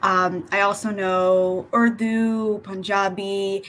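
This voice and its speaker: A woman's voice: mid-range voice, little pitch movement, takes it slowly, a bit bright, a little hiss or hum. A young woman, a native Hindi speaker, introducing herself as a student panelist on a video call. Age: young adult. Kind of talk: self-introduction on a live panel. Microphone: computer video call.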